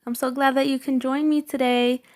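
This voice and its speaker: A woman hosting a read-aloud, narrating a children's storybook slowly and expressively with emphatic phrasing. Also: sits high, little pitch movement, darkish tone.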